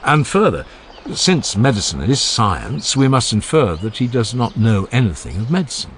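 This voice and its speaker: A man narrating an audiobook of a classical philosophical dialogue in a measured, formal and emphatic way. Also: mid-range voice, sing-song, a bit bright, a little hiss or hum. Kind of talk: dramatized scripted reading of a classical philosophical dialogue.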